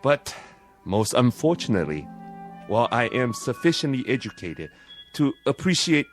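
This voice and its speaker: A man playing a formal, skeptical Japanese police chief with a Japanese accent and measured, condescending speech, in vintage television drama style. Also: medium pitch, sing-song, close to an everyday voice. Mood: urbane, dismissive. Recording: old TV soundtrack with music.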